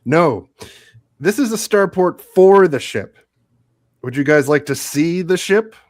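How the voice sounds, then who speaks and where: A man's voice: on the high side, expressive, slow. A man playing a confident, upbeat spaceship commander in a radio theatre read, breezy and encouraging. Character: upbeat, confident male spaceship commander, eager tour guide.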